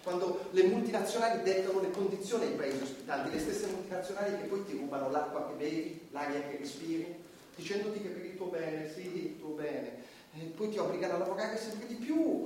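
An actor playing a defiant, impassioned satirical comedian on trial, emphatic and repetitive in a theatrical stage style. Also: male voice, fairly high pitch, darkish tone, natural voice. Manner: emphatic, repetitive, preaching against hypocrisy and power. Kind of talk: theatrical stage acting, fiery monologue. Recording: echoey distant stage recording, faint noise.

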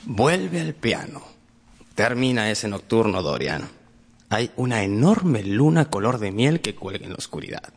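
An actor playing a cynical, languid aristocrat in a radio drama, with theatrical, teasing, drawn-out phrasing. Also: male voice, on the low side, very animated, slightly rough, full character voice. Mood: coaxing, amused. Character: languid, cynical aristocratic gentleman, coaxing his friend. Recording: long hall reverb. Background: faint music.

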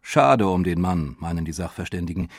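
A man playing a motoring pioneer who recalls public mockery with wry drama, in measured, expressive storytelling for an audio documentary. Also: low-pitched, slightly rough, no echo, clean studio sound, heavily acted. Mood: mocking, indignant. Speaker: voice actor reading historical eyewitness accounts. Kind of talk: dramatized scripted reading of a memoir.

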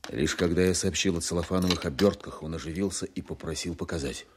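An actor playing an attentive, businesslike Soviet counterintelligence officer in an audio drama, measured and matter-of-fact. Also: male voice, sits low, noisy background, natural voice. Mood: measured recounting. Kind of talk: audio drama narration and dialogue.

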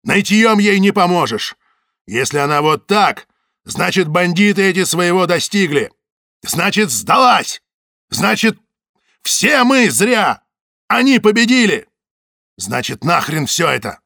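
A man's voice: high-pitched, big pitch swings, unhurried, gravelly, sharp tone, no echo, clean studio sound, voice pushed up, heavily acted. A man voicing a hot-tempered male Soviet detective who barks and rages in furious outbursts.

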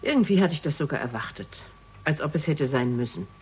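A woman playing a candid, quick-witted middle-aged widow in a German radio crime drama, frank and expressive. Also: low-pitched, breathy edge, full character voice. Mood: candid, wistful.

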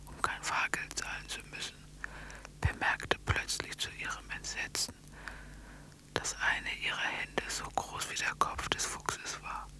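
An actress narrating a surreal radio play as a hushed storyteller, in a breathy whisper. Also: female voice, close to an everyday voice, rough recording. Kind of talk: literary radio drama narration.

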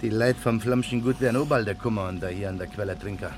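Male actor as earnest, pious Silesian bridegroom, timid, lowish voice, noisy vintage radio sound. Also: fast, lightly acted. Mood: earnest, uneasy. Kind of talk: naturalistic German radio drama acting. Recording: noisy old radio production.

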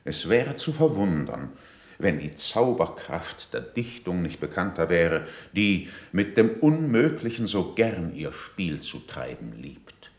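A stern, eloquent courtier pleads for the law in classical radio drama verse. His delivery is slow and formal, with a lowish voice that swings widely for emphasis. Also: big pitch swings, darkish tone, heavily acted. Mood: pointed doubt. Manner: declamatory, formal, weighty pronouncements. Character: stern, eloquent courtier accusing a rival before his prince.

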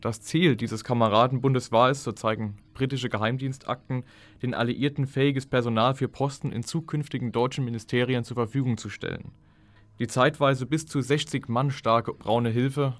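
Male documentary commentator, mid-pitched, explaining history emphatically in a dry studio sound. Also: dry recording.

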